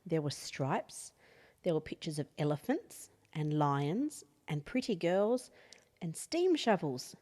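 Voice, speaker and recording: A woman reading a picture book aloud as an expressive children's storyteller. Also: low-pitched, slow pace. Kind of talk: expressive read-aloud bedtime story narration.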